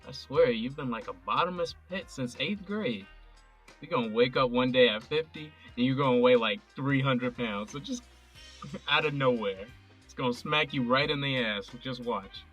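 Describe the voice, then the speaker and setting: A man's voice: mid-range voice, moderate pace, a bit bright, slightly rough, soft background music. A man playing a young adult son with an accused father, bantering with his friend and earnest when it matters. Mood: teasing. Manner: joking banter that turns earnest. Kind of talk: amateur audio drama acting.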